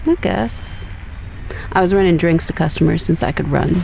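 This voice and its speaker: A guarded young woman space explorer speaks in a lowish voice with heavy fry. Her delivery is dry, understated and teasing, like intimate sci-fi radio drama over ship comms, with some background noise. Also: expressive, normal speed, a little mellow, low-quality recording. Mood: guarded, wry. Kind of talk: intimate, naturalistic audio drama dialogue.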